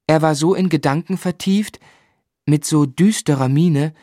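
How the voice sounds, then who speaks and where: A man playing an earnest, affectionate young man who recalls his life in measured literary phrasing for a radio drama. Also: a little high, mellow, no echo, clean studio sound, close to an everyday voice. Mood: reflective. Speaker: narrator and lead actor.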